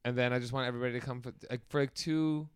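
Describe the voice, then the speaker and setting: A man in his late twenties co-hosting a podcast, chatting casually, animated and excitable, swearing freely. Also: mid-range voice, very fast, clean tone, dry recording, studio quality. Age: 20s. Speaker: podcast co-host. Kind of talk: casual chat.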